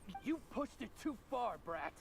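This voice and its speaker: Nasty bandit thug, game villain, gravelly, higher male voice, threatening. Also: sing-song, moderate pace, a little hiss or hum, heavily acted. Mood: menacing. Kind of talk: video game voice acting.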